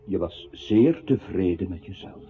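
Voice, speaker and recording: Sneering low-voiced man, wildly swooping intonation. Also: animated, measured pace, a little hiss or hum. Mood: scornful. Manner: sardonic, contemptuous, theatrical. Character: scornful, mocking male inner voice, sneering judge. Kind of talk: radio play narration. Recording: thin phone-like radio sound, loud music.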